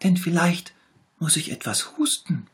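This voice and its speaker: A man voicing a little sick male tiger cub who whimpers and makes demands in a high, strained voice, in a storybook reading. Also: huge pitch swings, measured pace, husky, full character voice. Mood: whiny, sickly.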